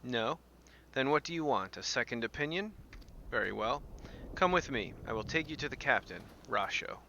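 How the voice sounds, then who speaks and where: A man voicing a wary, formal pirate in a fantasy game, measured and stern. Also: mid-range voice, expressive, creaky, pushed and bright, lo-fi sound, a little hiss or hum. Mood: stern, guarded.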